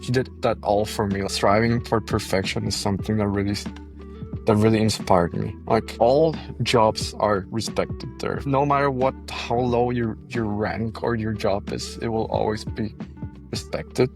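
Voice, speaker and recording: A man's voice: lowish voice, fairly even intonation, a little slow, over music. A young man from Costa Rica, a podcast guest, telling his story in casual chat, often repeating words and laughing between thoughts.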